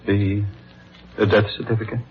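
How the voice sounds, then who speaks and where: An actor playing a distinguished middle-aged judge, a scheming lover, in a measured, formal, theatrical 1940s radio drama style. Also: male voice, lowish voice, level tone, a little hiss or hum, lightly acted. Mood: feigned distress. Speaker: actor and narrator. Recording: tinny old radio broadcast, faint music.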